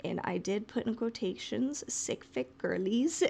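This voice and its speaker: A woman's voice: mid-range voice, lively intonation, a little slow, creaky, warm tone, slightly rough. A woman co-hosting a podcast, talking casually and reading out a written recap. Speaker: podcast co-host.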